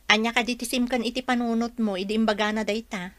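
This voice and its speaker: A woman's voice: a little high, steady tone, quick pace, no room sound, close to an everyday voice. A woman playing a frank, practical, reproachful character in an Ilocano radio drama, questioning and scolding.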